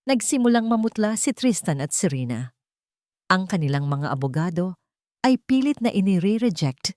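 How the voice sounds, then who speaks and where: Female drama narrator, lowish pitch, solemn and theatrical delivery, dry sound. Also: darkish tone, dry recording. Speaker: story narrator.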